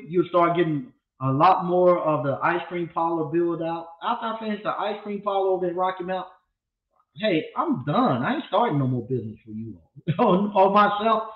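Blunt rambling man in his fifties. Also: high voice. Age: 50s. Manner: loose, rambling and blunt, with repeated restarts. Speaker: appliance repair instructor and channel host. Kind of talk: casual livestream talk. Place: small home studio with green walls. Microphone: desk mic on a boom arm. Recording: echoey room, tinny phone-like sound.

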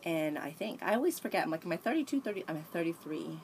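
A woman's voice: lowish voice, lively intonation, fast, a little mellow, slight background noise. A woman in her thirties talking candidly and rambling in a casual home vlog, recorded on a tablet in a small sunny room. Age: 30s. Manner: rambling, candid, frequent restarts and sighs. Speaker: vlogger. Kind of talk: casual confessional chat. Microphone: tablet camera at arm's length.

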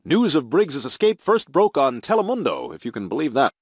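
An actor playing a smug middle-aged TV news anchor, reading mock bulletins in a polished, declamatory way. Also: male voice, medium pitch, huge pitch swings, a little fast, dry recording, studio quality. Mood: pompous, official.

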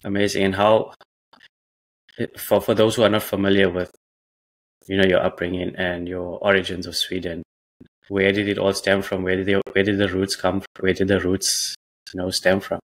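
A man's voice: sits low, flat delivery, moderate pace, rough recording. A man hosting a podcast over a video call on a headset, often rephrasing his questions as he asks them. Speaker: podcast host. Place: indoor room.

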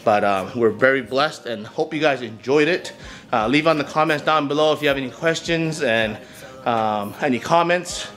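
A middle-aged man giving a casual vlog tour, speaking haltingly as he searches for words. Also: medium pitch, a bit bright. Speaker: vlogger, channel host. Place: small garage home gym, indoors. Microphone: camera mic, handheld or a few feet away. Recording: echoey garage with music behind.

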